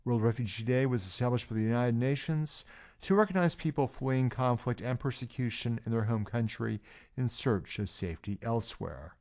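A man's voice: mid-range voice, normal speed, a little mellow. A man hosting a community radio interview in a station studio, introducing guests and reading station notices in an announcer-like way. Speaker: radio host.

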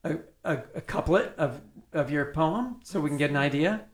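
A woman's voice: sits low, normal speed. A woman hosting an interview, chatty and teasing, prone to side tangents. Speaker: radio host. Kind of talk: casual interview.

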